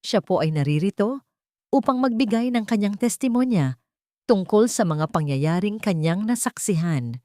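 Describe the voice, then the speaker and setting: A woman's voice: mid-range voice, lively intonation, moderate pace, mellow, dry recording, studio quality, pitched above the performer's own voice, natural voice. A woman voicing a firm, composed attorney addressing a court in a dramatic audio story. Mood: formal, assured. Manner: firm, formal.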